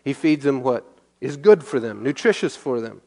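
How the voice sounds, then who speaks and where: A male pastor at a middle pitch reads scripture aloud, then breaks it down in an easy, conversational way. He often poses a question and answers it himself as he teaches verse by verse. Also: lively intonation, moderate pace, vocal fry, dark tone. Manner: asks and answers his own questions.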